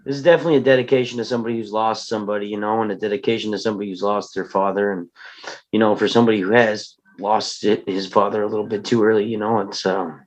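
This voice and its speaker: A man's voice: on the low side, steady tone, rapid-fire. A man in a casual group discussion of a script reading, speaking informally and earnestly in a laid-back way. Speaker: table read panelist.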